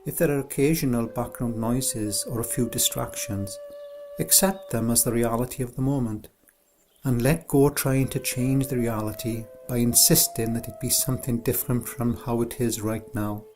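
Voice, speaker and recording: A man narrating a scripted guided meditation in a soothing, unhurried, gentle way, as an instructor. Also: medium pitch, steady tone, faint music behind.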